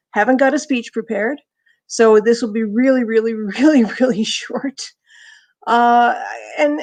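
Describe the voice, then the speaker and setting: Woman accepting an award on a video call, raspy high voice, stumbling through it. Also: darkish tone. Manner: halting, many restarts, moved. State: losing her voice. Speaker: award winner, magazine managing editor. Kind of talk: unprepared acceptance speech.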